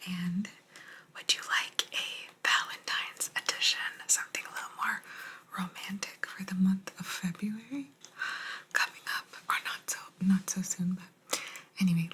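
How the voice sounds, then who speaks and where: A woman giving a language lesson in a soft, breathy ASMR whisper, gently repeating words. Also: mid-range voice, steady tone. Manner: slow, gentle repetition of words. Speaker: host teaching Italian vocabulary.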